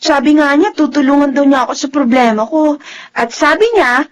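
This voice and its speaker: A Filipino woman playing a hopeful, trusting and stubborn young student in an expressive radio drama style. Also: sits high, a little fast, close to an everyday voice. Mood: eager, reassuring.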